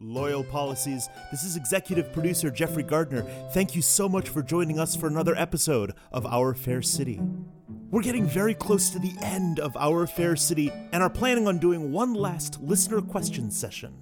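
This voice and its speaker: A man with a somewhat high voice reads a producer's scripted podcast announcement. Music plays clearly behind him. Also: expressive, moderate pace. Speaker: executive producer.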